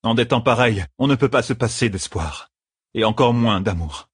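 A man performs a proud mature queen in an audiobook. The tone is raised and a little husky, regal and impassioned, torn between pride and love. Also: mid-range voice, lively intonation, moderate pace, dry recording, clean studio sound, pitched above the performer's own voice.

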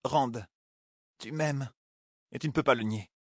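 A man's voice: mid-range voice, unhurried, no room sound, clean studio sound, pitched above the performer's own voice, close to an everyday voice. A man voicing a feisty, stubborn young woman in love in a fantasy audiobook, teasing and bluntly outspoken. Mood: frank, tender. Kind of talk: audiobook character dialogue.

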